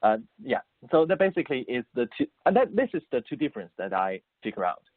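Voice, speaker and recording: A man from Beijing whose first language is Mandarin, speaking English with a Mandarin Chinese accent as an interview guest. He answers haltingly and repeats words as he searches for phrasing. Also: mid-range voice, sing-song, no echo. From Beijing, China. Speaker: guest composer and musician. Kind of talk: interview answers over the phone. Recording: tinny dry phone line.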